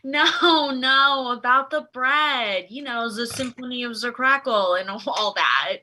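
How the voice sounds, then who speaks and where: A woman's voice: high-pitched, takes it slowly, sharp tone, exaggerated voice, rough recording. A woman playing a lovestruck, chatty bakery co-worker in her twenties, flirty and playful in a comedy table read. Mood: flirty, giddy.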